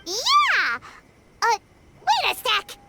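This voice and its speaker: Casting: pint-sized anime game fairy sidekick; piping childlike squeak, very lively melody, outspoken and slangy. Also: female voice, extremely slow, very noisy, full character voice. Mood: flustered protest. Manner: exclamatory, bubbly, slangy. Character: small childlike floating fairy companion, excitable, outspoken, earnest. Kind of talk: English-dubbed anime video game acting. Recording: prominent game music behind.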